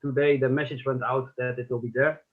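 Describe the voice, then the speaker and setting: A Dutch man, a songwriter, speaking haltingly with many fillers on a video call. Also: medium pitch, little pitch movement. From Netherlands. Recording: tinny phone-like call audio.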